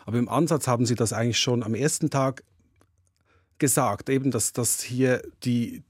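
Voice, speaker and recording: A man's voice: mid-range voice, moderate pace, slightly rough, no room sound, clean studio sound. A man hosting an interview, putting questions and summaries to his guests. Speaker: host, interviewer.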